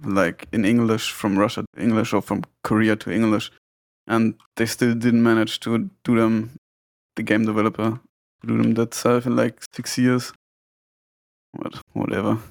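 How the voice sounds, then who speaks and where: A young German-accented man chats as a guest on a gaming podcast. His voice is on the low side, and the sound is dry with no echo. He talks in a relaxed way and now and then searches for words. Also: moderate pace, vocal fry. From Bavaria, Germany. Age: 20s. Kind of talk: casual gaming podcast chat.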